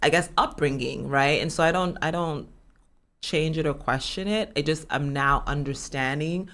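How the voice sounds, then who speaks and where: A middle-aged woman who appears as a guest on a podcast and talks about her own life in a reflective, measured way, pausing to search for the right words. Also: deep voice, fairly bright tone. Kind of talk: reflective personal interview.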